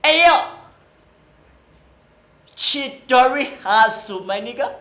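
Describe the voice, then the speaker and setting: A woman's voice: sits high, expressive, slow, noisy background. A Southern African woman playing a burdened, streetwise young breadwinner in a stage play, pleading in slangy speech. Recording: echoey stage.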